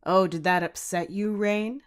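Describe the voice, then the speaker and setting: A woman's voice: medium pitch, fairly even intonation, a little slow, close to an everyday voice. A woman voicing a cheeky teenage boy in an audiobook story, casual and teasing.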